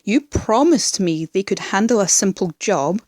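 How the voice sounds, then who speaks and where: A woman acts out a scheming female crime boss in an audio drama, speaking slowly in a close, dry sound. She is commanding and sardonic, used to being obeyed. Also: medium pitch, expressive, a little mellow, no echo. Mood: irritated. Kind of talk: fan audio drama acting.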